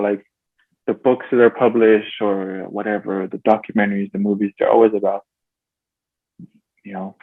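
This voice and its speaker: A man hosts a relaxed interview podcast, pausing often on fillers and restarting his sentences. His voice sits fairly low. The sound is thin and tinny, like a phone line, with no room echo. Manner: hesitant, many fillers and restarts. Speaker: podcast host. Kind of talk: casual interview.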